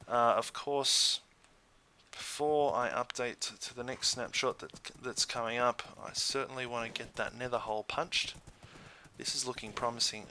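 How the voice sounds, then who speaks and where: A man gives relaxed, informal game commentary in a bright, forward voice at an average male pitch. He often hesitates and thinks out loud as he plays. There is clear background noise on the recording. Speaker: gaming video host.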